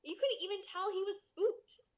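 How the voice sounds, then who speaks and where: A woman's voice: very high-pitched, normal speed, a little mellow. A woman co-hosting a podcast, playful and teasing as she banters and reads aloud casually. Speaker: podcast co-host.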